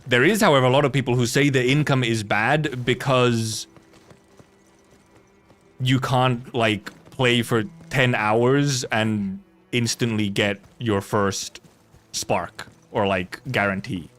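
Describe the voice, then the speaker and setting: A man's voice: mid-range voice, steady tone, slow, fairly bright tone. Rambling, blunt male game streamer over music. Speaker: livestreamer. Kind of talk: casual gaming stream chat.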